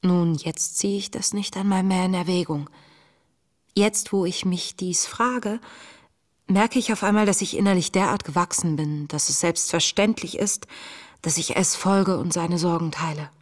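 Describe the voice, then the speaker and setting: An actress playing an earnest, searching woman in her late twenties, reading her wartime diary in a breathy, introspective, measured way for a radio play. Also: on the low side, close to an everyday voice. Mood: reflective. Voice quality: breathy, often dropping toward a whisper. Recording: music under the voice.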